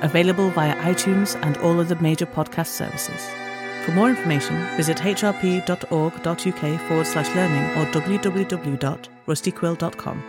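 A woman's voice: on the low side, steady tone, normal speed. A woman reading scripted narration as a podcast trailer announcer. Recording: prominent string music behind.

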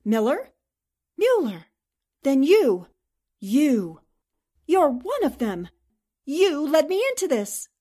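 A woman's voice: sits high, sing-song, slow, long pauses, warm tone, full character voice. An actress playing a spirited, earnest newspaperwoman heroine in a theatrical 1940s-style radio drama. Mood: indignant. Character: spirited, indignant female newspaper columnist heroine.

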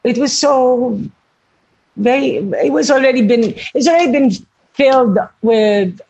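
An older woman speaks with a husky, slightly breathy voice. She talks earnestly and reflectively about her work as an actress, searching for words and correcting herself as she goes. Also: high-pitched, a little slow, a little mellow, muffled recording. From Philippines. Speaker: actress, interview guest. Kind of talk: reflective interview talk.

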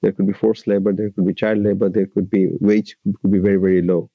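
Bangladeshi guy explaining stuff earnestly, kinda low voice, dull muffled audio. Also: level tone, fast talker, no echo. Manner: earnest, explanatory, with frequent restarts. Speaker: labour rights advocate, guest. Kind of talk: interview answers.

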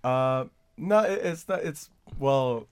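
A man's voice: medium pitch, lively intonation, slow, clear, resonant tone. A songwriter from the Philippines, hesitant and restarting as he explains in an interview. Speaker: songwriter, band member. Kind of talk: casual interview chat.

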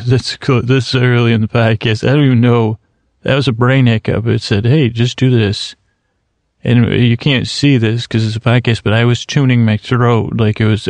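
A man rambles through a drowsy bedtime monologue, wandering off track and laughing at his own slips. His voice is gravelly and sits in a flat, narrow pitch. The sound is close and dry, with no room around it. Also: no echo. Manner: soft, meandering, self-mocking with verbal slips. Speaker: podcast host.

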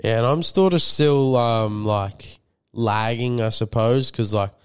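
A man's voice: medium pitch, slow, clean tone, dry recording, clean studio sound. A man co-hosting a podcast, a bit nasal from a cold, rambling with lots of fillers and swearing. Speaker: podcast co-host. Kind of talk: casual chat.

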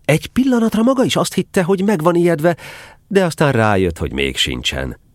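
A man's voice: on the high side, big pitch swings, no echo, studio quality. A man narrating a children's story from a script as part of an audio drama.

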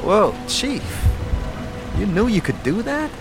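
A man's voice: high-pitched, sing-song, slow, over music, pitched above the performer's own voice, full character voice. A man voicing a cheeky, startled adventuring companion in a fantasy audio drama. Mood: startled, wry.

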